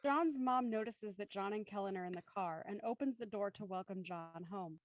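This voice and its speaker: A woman's voice: mid-range voice, normal speed, dry recording. A woman reading stage directions as the narrator of a scripted audio play.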